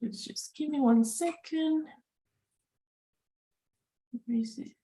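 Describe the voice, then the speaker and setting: A woman's voice: sits high, takes it slowly, dark tone. A woman hosting a live online career chat over a video call, upbeat and enthusiastic, with frequent fillers. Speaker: webinar host.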